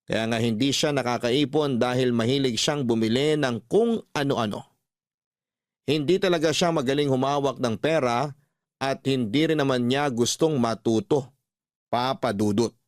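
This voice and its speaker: A man with a Filipino accent narrating a first-person radio story in a calm, measured way. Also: medium pitch, dry recording, clean studio sound.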